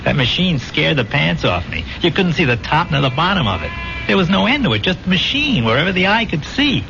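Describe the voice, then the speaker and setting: An actor in a 1970s radio drama playing a weary, haunted war buddy back from the dead, speaking plainly in halting phrases. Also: male voice, fairly high pitch, very animated, creaky, background noise. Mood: shaken, earnest. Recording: dull old radio recording with music.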